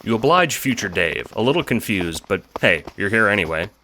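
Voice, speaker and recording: A man narrates an audio drama from a script, addressing the listener in the second person. His voice is very low in pitch. There is clear noise in the home recording. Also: very animated, fairly quick, pushed and bright. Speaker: narrator and producer.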